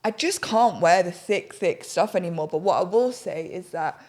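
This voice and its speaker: A young woman, a little husky today, chatting casually while doing her makeup in a home studio. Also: fairly low pitch, lively intonation, normal speed, darkish tone. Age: young adult. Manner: chatty, rambling, self-deprecating. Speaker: podcast host. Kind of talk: casual get-ready-with-me chat. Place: bright home studio, indoors. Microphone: desk podcast mic on an arm.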